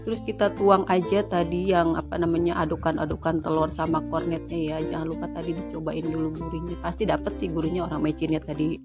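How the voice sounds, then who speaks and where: A woman, a cooking channel host in a home kitchen, talking through a recipe in playful joking banter, her voice a bit hoarse from a sore throat. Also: sits low, little pitch movement, fast talker, hardly any pauses, music in the background. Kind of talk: casual cooking tutorial chat.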